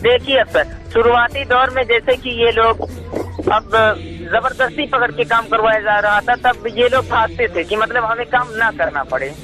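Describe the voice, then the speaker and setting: High, bright-voiced man on a call. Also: moderate pace, rough recording. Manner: deferential, insistent, repetitive. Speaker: activist caller. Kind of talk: casual phone discussion. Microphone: phone call. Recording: live call recording with music behind.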